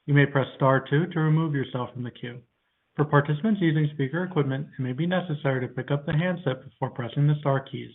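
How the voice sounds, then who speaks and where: Higher-pitched male call operator, phone-like. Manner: formal, procedural. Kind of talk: scripted call announcements. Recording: narrowband phone line.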